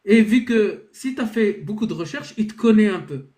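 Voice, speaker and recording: A man with a notably high voice hosts a live debate over a video call. He talks hesitantly, circling back and restarting his sentences. He keeps reassuring the others, calm and conciliatory. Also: moderate pace. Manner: hesitant, many restarts and fillers.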